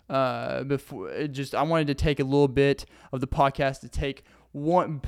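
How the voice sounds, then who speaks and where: Young man, Southern accent, smooth resonant voice, dry close sound, rambling podcast talk. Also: medium pitch, no echo. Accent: Southern US (Arkansas). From Arkansas. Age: young adult. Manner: rambling, earnest, laughs often. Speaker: podcast host. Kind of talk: casual solo podcast monologue. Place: indoors, sitting on the floor.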